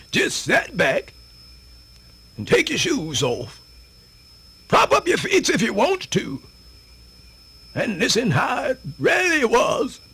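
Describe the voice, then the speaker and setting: Radio theatre: animated old Southern US storyteller, creaky high voice, folksy, wandering delivery with big pitch swings. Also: male voice, heavily acted. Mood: excited, inviting. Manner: rambling, folksy, theatrical hesitations and repetitions. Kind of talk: radio theatre folktale monologue.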